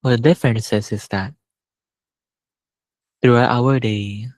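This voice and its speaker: A man teaches meditation in a gentle voice at a medium pitch. He speaks very slowly and leaves long pauses between short phrases. The sound is dry and close, with little room echo. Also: steady tone. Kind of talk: guided meditation.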